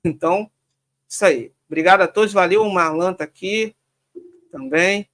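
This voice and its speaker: A Brazilian man hosting a live stream in a relaxed, informal way, reading viewer comments and wrapping up. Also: high-pitched, normal speed. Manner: relaxed, informal sign-offs.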